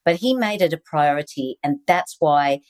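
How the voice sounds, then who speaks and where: Aussie lady talking leadership on a video call, low voice, quick earnest bursts. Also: expressive, a little mellow. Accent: Australian. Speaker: guest author and leadership speaker. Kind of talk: conversational interview answers.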